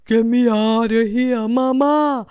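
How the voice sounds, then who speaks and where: A woman voicing a bratty, excitable superhero baby boy in repetitive baby talk for children's toy role-play. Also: fairly high pitch, slow pace, clear, resonant tone, voice pushed down. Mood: whiny tantrum. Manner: whiny, taunting baby talk with repeated phrases.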